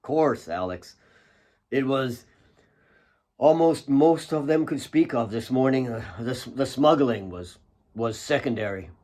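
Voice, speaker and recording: A man voicing a loyal, concerned older mine worker, halting and cautioning, in an audiobook. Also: mid-range voice, animated, darkish tone, lightly acted.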